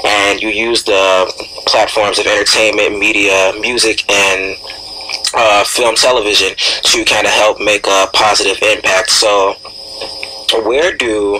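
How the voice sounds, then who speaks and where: A man with a bright, forward voice pitched a little low hosts a radio interview. He talks at length, halting and searching for his words. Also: fairly even intonation, slight background noise, poor audio. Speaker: radio show host, interviewer. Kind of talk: conversational radio interview questioning.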